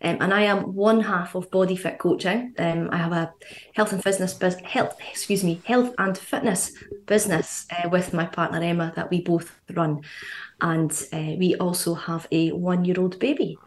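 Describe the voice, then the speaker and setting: A woman's voice: fairly low pitch, steady tone, slight background noise. Scottish woman in an echoey room. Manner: chatty, quick, frequent fillers and laughs. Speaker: guest, fitness coach. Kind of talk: casual podcast interview.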